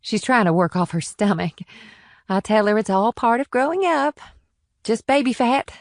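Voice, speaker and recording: A woman's voice: a little high, animated, moderate pace, dry recording. A well-off married mother in an audiobook thriller, acted by a woman, arch and breezy with a defensive edge. The recording is dry, with hardly any room sound. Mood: offhand.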